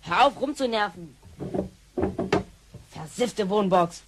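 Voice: mid-range voice, animated, slow, background noise. A performer playing a brash, impatient, slangy boy from the future in a radio play. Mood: agitated, impatient.

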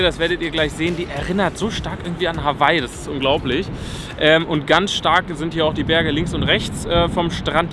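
A young man vlogging casually on a road trip, relaxed and enthusiastic as he describes what he sees. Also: on the high side. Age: young adult. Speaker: vlogger, travel companion. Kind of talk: casual travel vlog chat. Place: in a van and outdoors on a hike.

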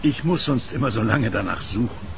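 Creaky-voiced, easygoing blind newspaper seller. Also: male voice, medium pitch, expressive, brisk, noisy background. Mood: calm, candid. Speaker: kiosk newspaper vendor. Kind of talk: audio drama acting.

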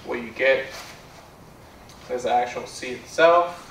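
A young man giving a casual product demonstration in a plainspoken, low-key way, recorded indoors on a distant camera mic. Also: unhurried. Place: bare indoor room with carpet. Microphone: far camera. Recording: roomy echo, distant mic, background noise.

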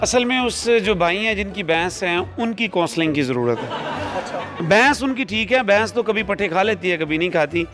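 Male rescue spokesman, Pakistani accent, high voice, polite explanations, music underneath. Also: expressive. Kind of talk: interview answers. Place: emergency call-centre control room. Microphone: reporter's handheld microphone held to him.

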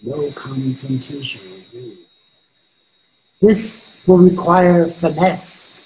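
An older man acting a contemptuous, gleeful devil in amateur readers theater, plotting in a deliberate, drawn-out delivery. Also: fairly high pitch, warm tone, low-quality recording. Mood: scheming. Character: scheming devil, old male tempter, contemptuous and gleeful. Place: indoors at home, video call.

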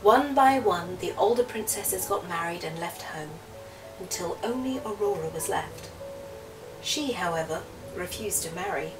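A woman softly narrating a children's storybook aloud. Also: on the low side, expressive, a little slow. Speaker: storybook reader. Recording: distant mic, music behind the voice. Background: music.

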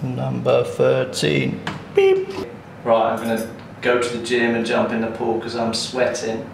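Young male travel vlogger, chatty and upbeat, mid-pitched voice, handheld camera audio with loud street noise. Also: big pitch swings, measured pace, gravelly. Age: young adult. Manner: chatty, upbeat running commentary while walking. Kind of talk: casual travel vlog. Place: outdoors in a city and indoors. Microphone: handheld action camera.

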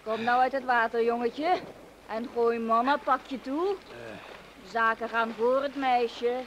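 A woman's voice: a little high, fairly even intonation, slow, dull audio, slight background noise. An actress playing a flirtatious, menacing female crook in a radio play, cooing and teasing as she threatens. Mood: teasing menace. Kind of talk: radio drama acting.

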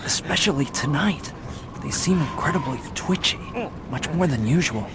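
A man's voice: a little high, lively intonation, moderate pace, natural voice. A man playing an agitated, exasperated jailed store manager in a video game. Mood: nervous. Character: jumpy, indignant male jailed store manager. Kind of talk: game cutscene acting. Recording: loud ambient noise behind.

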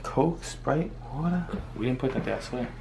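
A young man giving a joking, relaxed tour of a small apartment for a vlog. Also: medium pitch, moderate pace, creaky. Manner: joking, relaxed, plays host. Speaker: vlogger. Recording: noisy handheld home video.

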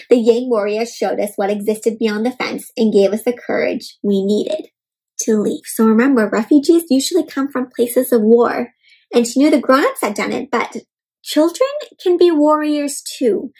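Young woman reading aloud, slightly husky voice. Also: a little high, rough recording. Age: young adult. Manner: expressive, explanatory, asks the listener questions. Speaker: host of a children's read-aloud show. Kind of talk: interactive storybook read-aloud for children. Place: indoor room at home.

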